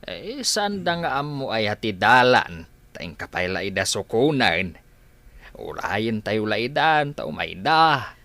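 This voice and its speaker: A man playing a fretful, doddering old grandfather in an Ilocano radio drama, halting and muttering between phrases. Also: fairly low pitch, big pitch swings, pushed and bright, dry recording, heavily acted. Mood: worried.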